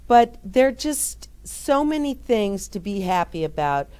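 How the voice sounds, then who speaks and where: A middle-aged woman reading a picture book aloud and chatting gently and encouragingly to young children. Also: medium pitch, sing-song, measured pace, clear, resonant tone. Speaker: children's storyteller. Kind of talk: read-aloud story time with chat to children.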